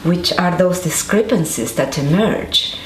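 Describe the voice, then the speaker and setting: Argentine-born woman scientist, low voice, echoey room. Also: unhurried. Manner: thoughtful, many restarts and fillers. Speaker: interviewee, scientist. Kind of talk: conversational interview answers. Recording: echoey room with faint noise.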